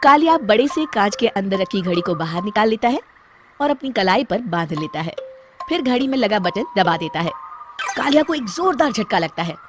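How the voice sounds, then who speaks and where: A woman's voice: medium pitch, big pitch swings, normal speed, music in the background. A woman storyteller delivering scripted narration for a cartoon tale.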